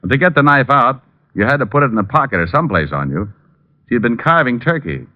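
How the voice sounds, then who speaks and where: An actor playing a wisecracking, hard-boiled private detective in an old radio crime drama, with a wry, needling, slangy delivery. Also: male voice, mid-range voice, big pitch swings, fast talker, close to an everyday voice. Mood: confident, accusing. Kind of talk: 1940s-50s radio crime drama acting. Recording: muffled old radio broadcast.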